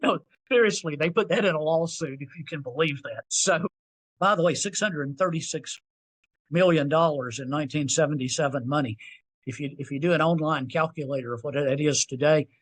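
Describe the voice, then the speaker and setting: A man in his sixties with a Southern US accent, a podcast guest telling stories in an animated, self-deprecating way. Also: a little high, a little fast. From North Carolina. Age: 60s. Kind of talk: storytelling interview.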